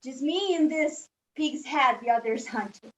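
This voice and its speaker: A woman's voice: high voice, measured pace, a bit bright, recorded from a distance, dull audio. A woman playing a frightened, frantic young boy in a dramatic, pleading stage monologue. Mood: hushed, wistful.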